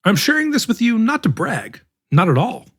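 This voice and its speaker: Male podcast narrator, slightly high voice, husky tone, reads an essay aloud with measured phrasing. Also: huge pitch swings, a bit bright. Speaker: podcast narrator reading an article. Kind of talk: scripted narration.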